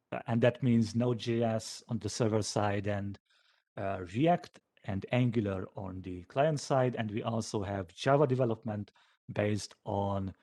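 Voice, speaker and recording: A man, a developer informally answering questions after a talk in a hesitant, halting way, as if thinking aloud. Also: lowish voice, darkish tone. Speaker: software developer giving a conference talk.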